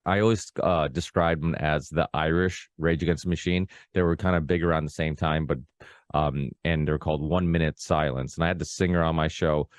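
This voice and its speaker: A man's voice: very deep voice, steady tone, brisk, no echo, studio quality. A Portuguese-born man of about forty, a native Portuguese speaker, hosting a casual podcast conversation and rambling with many restarts. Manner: rambling, full of restarts and "you know, like". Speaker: podcast host. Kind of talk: casual long-form conversation.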